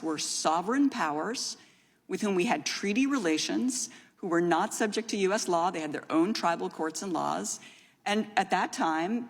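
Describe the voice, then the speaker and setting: Female expert witness, formal and assured, husky with some fry, explaining the law firmly. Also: medium pitch. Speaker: expert witness at a legislative hearing. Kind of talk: formal testimony, legal explanation. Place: large indoor hearing room. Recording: reverberant hearing room.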